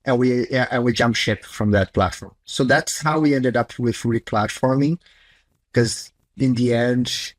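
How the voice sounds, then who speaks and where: A man's voice: medium pitch, unhurried, no room sound. Blunt Brazilian-accented man on a video call. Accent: Brazilian Portuguese. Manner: candid, blunt, loose non-native phrasing. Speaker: chief technology officer guest. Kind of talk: casual conversational interview. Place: small home office, indoors.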